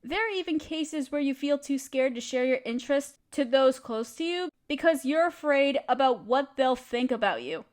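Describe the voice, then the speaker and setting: A woman speaks in a notably high voice, addressing the listener directly as a supportive friend would. Her delivery is a scripted comfort monologue, full of reassurance and encouragement. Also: expressive, normal speed, hardly any pauses.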